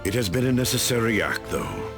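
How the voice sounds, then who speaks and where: A grim veteran space marine, solemn and formal in his speech. His very deep, gravelly voice rises and falls broadly. A loud orchestral score runs under him. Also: wildly swooping pitch, fairly quick, music in the background, heavily acted. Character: stern veteran male space marine warrior-psyker. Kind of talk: video game cutscene acting. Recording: loud game score behind.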